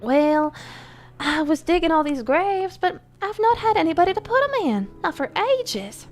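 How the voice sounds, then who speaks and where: A woman's voice: very high voice, very animated, moderate pace, a little mellow, soft background music, pitched above the performer's own voice. A woman voicing a cheerful, offhand female gravedigger who sounds falsely friendly and sing-song in a horror story. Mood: breezy unsettling.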